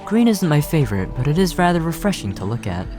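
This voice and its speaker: A man voicing a lofty, coolly amused creator-god creature in a video game, with measured, pompous delivery. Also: fairly high pitch, sing-song. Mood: self-assured. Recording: game music behind the voice.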